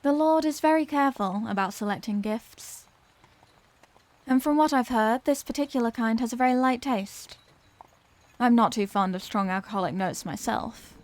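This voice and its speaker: A woman's voice: a little high, moderate pace, no echo, natural voice. A woman playing a refined, courteous Victorian vampire lady in an audio roleplay, speaking in formal, old-fashioned phrases. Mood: courteous, caring. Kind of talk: ASMR audio roleplay, scripted character acting.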